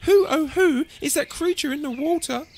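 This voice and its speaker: A man voicing a gentle misfit young male tyrannosaurus in a storybook, plaintive and hooting. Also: extremely high pitch, big pitch swings, measured pace, pitched above the performer's own voice, heavily acted. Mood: curious.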